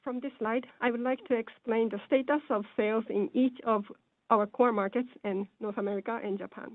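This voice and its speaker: A woman giving a formal, scripted financial presentation in a measured, businesslike way over a conference call. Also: on the high side, fairly even intonation, no echo. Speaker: presenter on an earnings call, speaking as or interpreting for a company executive. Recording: phone-like narrow-band conference call line.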